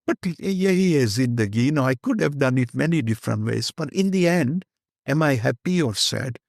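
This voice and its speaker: An older man with an Indian accent talks in a rambling, heartfelt way, dropping Hindi phrases into his English. His voice has a gravelly edge, and the recording is dry and close. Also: mid-range voice, animated, normal speed, darkish tone, dry recording. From Kenya. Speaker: guest. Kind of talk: candid conversational interview.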